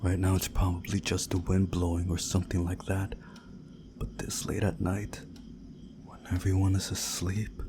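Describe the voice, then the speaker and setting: A man reading a visual novel story aloud in a measured, dramatic storytelling way, hushed at times. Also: deep voice, monotone, noisy background. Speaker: video game playthrough narrator.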